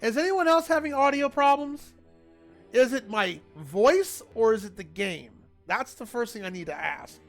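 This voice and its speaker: A man streaming a video game, talking in a loud, rambling, chatty way to his viewers. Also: very high voice, animated, normal speed, faint music behind. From Italian family. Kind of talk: casual gaming livestream chat.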